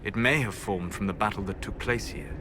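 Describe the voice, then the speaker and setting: A man voicing a calm, curious scholarly mage in a video game, musing and precise. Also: on the low side, fairly quick, noisy background, lightly acted. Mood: pensive.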